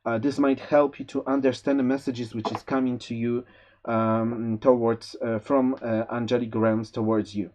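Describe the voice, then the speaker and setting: A man with a Polish accent reading a tarot forecast, giving gentle spiritual advice with many fillers and restarts. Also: medium pitch, flat delivery, normal speed. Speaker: tarot reader. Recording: slightly dull lo-fi sound.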